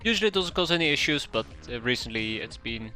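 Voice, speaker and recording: A man's voice: medium pitch, animated, fast talker, pushed and bright, soft background music. A man with a Dutch accent streaming a strategy game from home, chatting casually with viewers and often correcting himself. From Netherlands. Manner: rambling, self-correcting, chuckling asides. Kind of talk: casual game commentary to chat. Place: home room.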